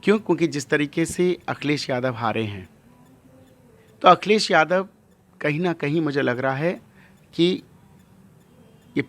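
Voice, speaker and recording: High-pitched, emphatic male religious leader. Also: unhurried, long pauses, fairly bright tone. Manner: emphatic, rhetorical questions and repetition. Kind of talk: impassioned interview monologue.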